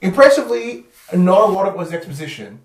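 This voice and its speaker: An Australian man talks casually as a podcast host. His voice sits a little high, and it comes across roomy and slightly distant from the microphone. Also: very animated, fast talker, a crowd in the background. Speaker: podcast host reviewing films. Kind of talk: casual chat. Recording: roomy echo, distant from the mic.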